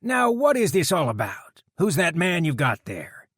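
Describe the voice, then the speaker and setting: A gruff biker deputy who runs his town, as a male narrator puts him on, speaking in a raised, forceful register. He drawls his warnings with calm authority. The sound is dry and close. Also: fairly high pitch, a little slow, no room sound, pitched above the performer's own voice, heavily acted. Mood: commanding. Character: gruff biker deputy, middle-aged man, commanding.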